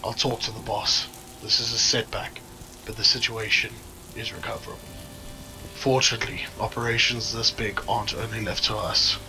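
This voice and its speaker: An actor playing a cold, controlled criminal go-between in a noir audio drama, formal, curt and disdainful. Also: male voice, on the low side, fairly even intonation, normal speed, bright, forceful tone, a little hiss or hum, low-quality recording. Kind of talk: scripted audio drama dialogue.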